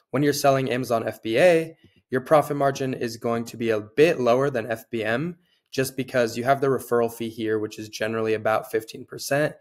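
A young man with a cold and a slightly hoarse voice, casually walking viewers through a screen-share tutorial, with frequent fillers. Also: mid-range voice. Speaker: online seller and tutorial host.

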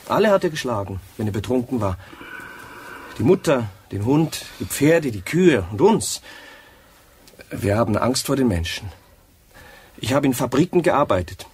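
An actor playing a timid, odd, downtrodden young man in a radio drama, speaking in a halting, plaintive way. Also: medium pitch, close to an everyday voice. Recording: noisy radio drama recording.

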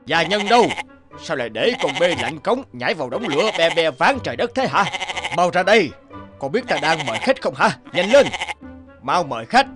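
A bullying, middle-aged rich man from a fairy-tale cartoon. He blusters with scorn in a lively, swooping, rather high voice. Music plays clearly underneath. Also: brisk. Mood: impatient shouting. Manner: scornful, blustering, barking orders.

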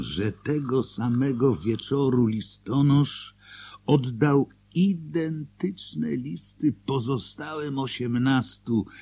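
A man's voice: on the low side, vocal fry, mellow, husky, no room sound, heavily acted. A man narrating a Polish radio drama as a scripted storyteller.

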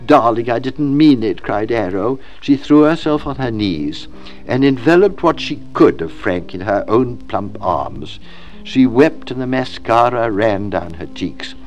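Man reading a story with very varied intonation. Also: mid-range voice. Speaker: audiobook reader. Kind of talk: scripted literary narration, expressive storybook reading.

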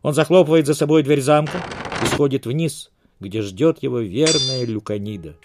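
A man's voice: medium pitch, moderate pace, noisy background. A man giving a dramatic, declamatory scripted narration, like a storyteller accompanying a silent film.

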